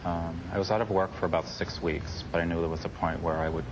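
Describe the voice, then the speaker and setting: Deep-voiced man, noisy recording. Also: flat delivery, quick pace. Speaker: air traffic controller. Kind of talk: personal recollection in an interview. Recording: clearly noisy interview audio.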